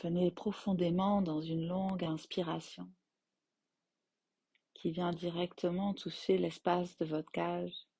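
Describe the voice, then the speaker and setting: A woman leads a guided meditation in a lowish, very slow voice. Her phrasing is gentle and spaced by long pauses. The sound is dry and close, with no room around it. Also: flat delivery, gravelly, breathy edge, no echo. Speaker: yoga nidra teacher guiding relaxation.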